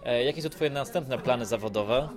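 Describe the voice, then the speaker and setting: A man's voice: medium pitch, normal speed. A man co-hosting a podcast, asking questions in a casual, tongue-in-cheek interview style. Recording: busy crowd chatter behind. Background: crowd chatter.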